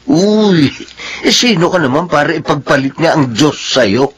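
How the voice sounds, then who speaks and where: A man's voice: fairly high pitch, lively intonation, moderate pace, breathy edge. A man playing a skeptical, teasing male buddy in a Filipino drama. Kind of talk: radio drama dialogue.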